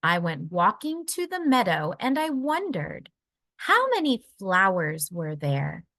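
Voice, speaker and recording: Woman doing a kids picture book read aloud, really bright voice, slow n expressive, super dry sound. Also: mid-range voice, dry recording. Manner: deliberate, expressive storytelling for young children. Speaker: children's book reader.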